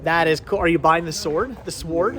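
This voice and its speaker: A man's voice: on the high side, normal speed, crowd noise. A man hosting a casual vlog, chatting in a rambling way and repeating words for emphasis. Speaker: vlogger host. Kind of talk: casual vlog chat to camera and friends.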